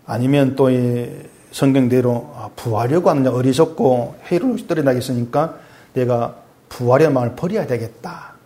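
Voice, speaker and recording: A middle-aged male pastor preaching conversationally, asking his congregation questions and dropping low and soft when he reads scripture. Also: moderate pace. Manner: swings between raised emphatic lines and quiet low asides; reads scripture aloud in a lower, softer voice. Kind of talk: sermon.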